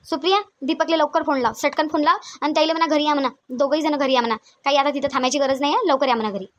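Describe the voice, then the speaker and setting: A woman's voice: high voice, very fast, highs cut off, slight background noise, lightly acted. A woman voicing a bossy, suspicious older mother-in-law in a cartoon family skit, insistent and repetitive, with a Vidarbha Marathi accent. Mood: outraged, scolding. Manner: scolding, insistent, repeats her demands.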